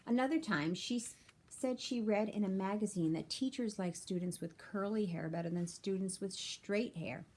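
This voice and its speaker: Mellow-voiced woman reading aloud to children. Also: mid-range voice, normal speed. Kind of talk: read-aloud of a children's chapter book.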